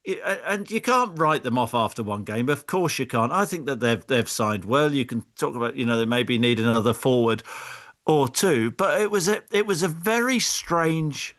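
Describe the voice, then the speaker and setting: A middle-aged former player debating football as a pundit, a man who argues emphatically in halting, repeated phrases. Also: medium pitch, expressive, fairly bright tone.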